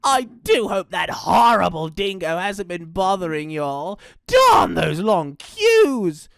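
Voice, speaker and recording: A woman's voice: mid-range voice, sing-song, slow, creaky, no echo, clean studio sound, exaggerated voice. A woman voicing a sly storybook dingo who pretends to be a kangaroo mama, sugary and gushing in an exaggerated way. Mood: sugary greeting. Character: dingo faking a sweet, high kangaroo mama voice. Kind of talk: children's story character acting.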